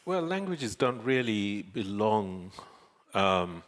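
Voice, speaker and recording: A man's voice: on the low side, very animated. An older man whose first language is Swahili, speaking thoughtfully with hedges as a guest on a panel. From Zanzibar. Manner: thoughtful, measured, with frequent hedges. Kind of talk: panel discussion. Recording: reverberant hall.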